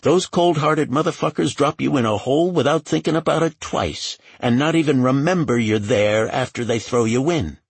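A man's voice: mid-range voice, moderate pace, hardly any pauses, slightly rough, no room sound, pitched above the performer's own voice. A man voicing a brash, sarcastic, streetwise woman agent who talks in taunting, profane slang, in an audiobook. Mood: menacing, crude.

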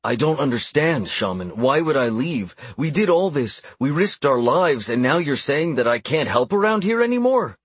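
A man's voice: medium pitch, unhurried, husky, dry recording. A man playing an earnest, big-hearted young orc mage in an audiobook, with a pleading manner. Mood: shocked, pleading. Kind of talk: audiobook character voice.

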